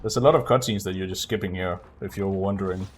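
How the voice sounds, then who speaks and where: A man giving casual live commentary on a game as a streamer, explaining things in a low-key way. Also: quick pace, a little hiss or hum. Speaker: streamer speedrunner. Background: game music.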